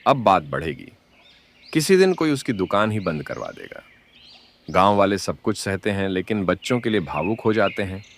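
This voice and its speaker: A man's voice: deep voice, very animated, moderate pace, slight background noise. A man playing a disgruntled villager, grumbling emphatically in a cartoon story. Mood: ominous grumbling. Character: disgruntled male villager, warning of trouble.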